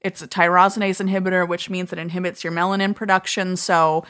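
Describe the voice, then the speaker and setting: Female podcast co-host, skincare pro, bright forward tone, chatty explainer. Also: lowish voice, little pitch movement, fast. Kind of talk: casual podcast chat.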